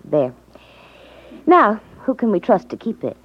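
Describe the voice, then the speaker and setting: Spirited young gal in a 50s radio mystery, polite, swoopy pitch, muffled old recording. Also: female voice, big pitch swings, a little slow, slightly rough. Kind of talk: 1950s radio drama acting.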